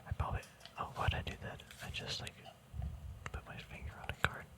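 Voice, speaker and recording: Whispering man, casual ASMR. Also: a little fast. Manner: hesitant, musing, trailing off mid-thought. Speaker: ASMR video creator showing a trading card deck. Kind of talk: whispered ASMR, casual rambling show-and-tell.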